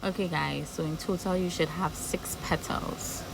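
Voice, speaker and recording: Low-voiced Trinidadian woman teaching crochet. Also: steady tone, measured pace, gravelly, background noise. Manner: patient, repeats steps while working. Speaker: crochet tutorial host. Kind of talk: step-by-step crochet instruction. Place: home room with background noise.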